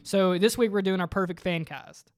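A man's voice: sits high, normal speed, no echo, studio quality. An American man hosting a podcast, telling rambling stories in a casual, joking style. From Virginia, United States. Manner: rambling storyteller, frequent "like". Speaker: podcast host. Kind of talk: casual comedic chat.

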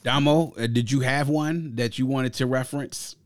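A man's voice: mid-range voice, steady tone, moderate pace, dry recording. A man hosting a music podcast, giving casual, opinionated album commentary in an emphatic, slangy way. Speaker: podcast host reviewing music.

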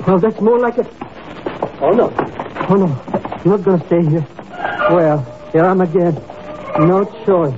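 An actor playing a cowardly, grumbling comic sidekick in a 1950s radio drama, whiny and quick to stammer when scared. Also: male voice, sits high. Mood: frightened, whining. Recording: noisy, tinny old radio recording.

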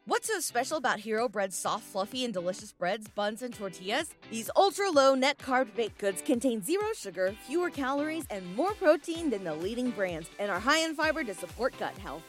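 A woman's voice: fairly high pitch, big pitch swings, a little fast, hardly any pauses, faint music behind. A woman reading a scripted commercial in a polished, upbeat way. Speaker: ad announcer.

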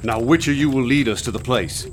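An actor playing a grim, commanding police inspector who recounts a raid in a radio drama. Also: male voice, medium pitch, brisk, a little hiss or hum, natural voice. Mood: firm, commanding. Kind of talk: radio drama acting with narration. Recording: music under the voice.

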